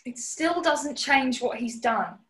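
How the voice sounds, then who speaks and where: An actress playing a plucky, earnest British housemaid with a prim manner in a 1940s radio play style. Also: female voice, high voice, animated, slow pace, a bit bright, exaggerated voice.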